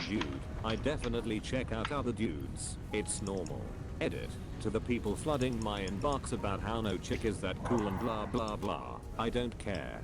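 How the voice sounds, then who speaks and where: A man with a somewhat low voice reads forum posts aloud at a flat, steady pace. Clear music plays underneath him the whole time. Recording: music playing loudly behind.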